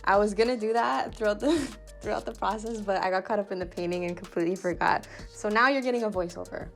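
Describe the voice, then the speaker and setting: A young adult woman giving a casual, chatty, informal voiceover as a painter, self-deprecating and giggly in manner. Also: lowish voice. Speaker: painter and vlogger presenting her own painting process. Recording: music behind the voice.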